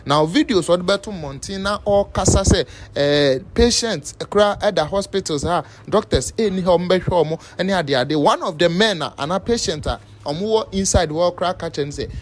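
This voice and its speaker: A man's voice: high-pitched, moderate pace, hardly any pauses. A man with a Ghanaian accent presenting informal news commentary, switching between a local language and English. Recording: faint background noise.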